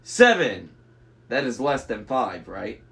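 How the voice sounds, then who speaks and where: A man voicing a huge, gruff, gullible Cyclops monster from a storybook, bellowing and demanding. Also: on the high side, big pitch swings, slow pace, a bit bright, voice pushed up, heavily acted. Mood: demanding, hungry.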